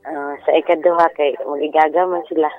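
A man's voice: a little high, level tone, brisk, dry recording. A man with a Cebuano Filipino accent calling a radio show by phone, chatting casually and a bit hesitantly. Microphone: telephone. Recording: phone call over music.

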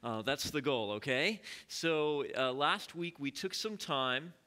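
A man leading a Bible study in church, teaching in a relaxed, conversational way with many fillers and questions to the congregation. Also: medium pitch, slow pace. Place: church sanctuary.

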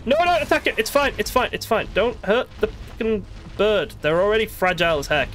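A man giving casual, sweary live commentary as he plays a video game, excitable and exasperated, muttering to himself and at the game's creatures. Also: high voice, moderate pace.

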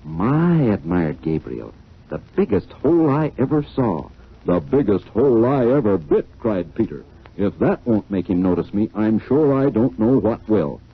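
A man's voice: fairly low pitch, big pitch swings, measured pace, breathy edge, exaggerated voice. A man theatrically narrating a 1940s children's story, acting out the characters. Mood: animated. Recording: tinny, muffled old 1940s recording.

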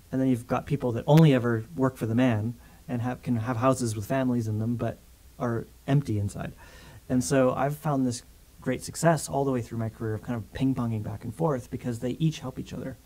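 Canadian guy in his 30s, warm mellow voice, chatty and animated, studio mic interview. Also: medium pitch, poor audio. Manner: animated, gestures a lot with hands while talking. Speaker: filmmaker guest. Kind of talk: casual interview chat. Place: small studio with grey backdrop. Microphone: studio condenser mic on stand.

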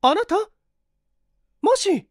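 High-pitched, prim, proud young woman, acted out by a woman. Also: sing-song, very slow, no room sound, studio quality, exaggerated voice. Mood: flustered, startled. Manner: prim, refined old-fashioned feminine politeness. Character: proud, prickly, hard-up young woman, polite and anxious.

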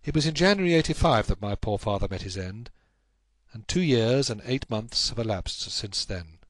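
A man voicing an anxious, helpless young gentleman in a storybook, recounting his troubles in an earnest, measured, formal way. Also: fairly low pitch, animated, no echo, natural voice. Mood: grave, uneasy. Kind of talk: audiobook character acting.

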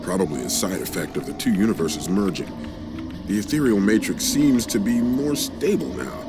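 A man's voice: on the low side, over music, close to an everyday voice. A man playing a tough, no-nonsense special forces commander in a game cutscene. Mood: brisk. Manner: brisk, no-nonsense.

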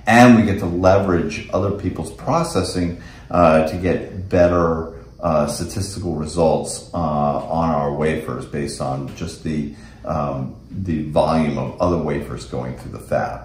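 A man's voice: rumbling low, fairly even intonation, measured pace, darkish tone, a little hiss or hum. A middle-aged American man giving a technical product demonstration and explanation as a company representative in an office. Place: indoors in a small office meeting room. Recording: echoey room.

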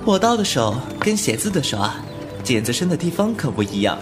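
A man's voice: medium pitch, sing-song, brisk, music in the background, natural voice. A man playing a cheeky young martial-arts hero in a wuxia audio drama, playful, mouthy and mock-grumbling. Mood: playful, sly.

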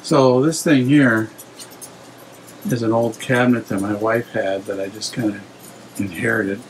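A man's voice: lowish voice, fairly even intonation, measured pace, a little hiss or hum, low-quality recording. A middle-aged man giving a casual, rambling walkthrough of his home fish room, hesitating with lots of fillers, filmed on a handheld phone indoors.

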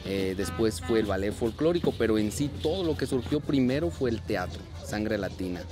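A man in his thirties from Mexico, a dance and theater group director, speaking earnestly and at a measured pace in an outdoor interview, with frequent fillers and restarts. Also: fairly low pitch, lively intonation. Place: outdoors at a street parade. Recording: outdoor clip with music behind. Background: festive music.

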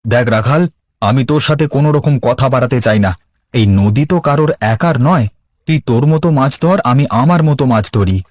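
A man's voice: mid-range voice, brisk, dry recording, clean studio sound, natural voice. A man voicing a dejected but patient village fisherman in a cartoon, with a plaintive, complaining manner. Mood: firm. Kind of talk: Bengali cartoon voice acting.

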